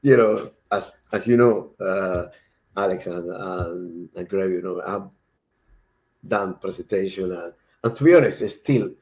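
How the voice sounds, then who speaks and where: A man's voice: low-pitched, measured pace, darkish tone. A man from Cuba, a native Spanish speaker with a strong Cuban accent, chatting casually as a panel speaker.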